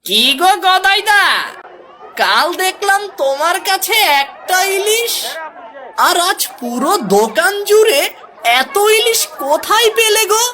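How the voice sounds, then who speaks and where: A woman's voice: very high voice, big pitch swings, measured pace, sharp tone, some chatter behind, full character voice. A woman playing a teasing, sceptical village market customer in a cartoon folk tale. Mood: teasing, curious.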